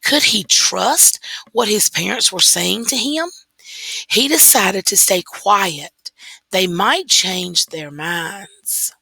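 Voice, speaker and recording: A woman reads a children's story aloud at an unhurried pace. Her voice is bright and forceful with a creaky edge, and she stresses key words deliberately in a close, dry recording. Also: mid-range voice, dry recording, rough recording. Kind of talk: scripted story read-aloud.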